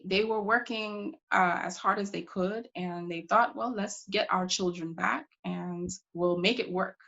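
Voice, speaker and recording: A woman playing a proud, determined pioneering congresswoman from Brooklyn, answering questions in character with deliberate, reflective phrasing broken by pauses. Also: fairly low pitch, fairly bright tone, highs cut off. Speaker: historical reenactor taking audience questions.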